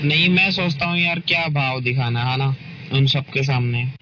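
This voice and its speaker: A man's voice: mid-range voice, fairly even intonation, moderate pace. Man chatting on a noisy phone call. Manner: often ends remarks seeking agreement. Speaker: partner in a private couple's phone call. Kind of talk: casual intimate phone chat.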